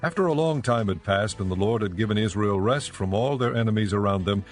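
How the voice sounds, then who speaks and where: Casting: man with fairly low voice, formal measured narrator for audio scripture, scored with music.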